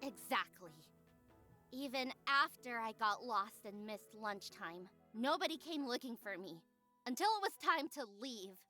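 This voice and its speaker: Woman as bitter teenage magical girl, visual novel, high-pitched, animated, curt, indignant. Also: unhurried, faint music behind. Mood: accusing. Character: angry, bitter teenage magical girl, sharp-tongued and stubborn. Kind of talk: visual novel character acting.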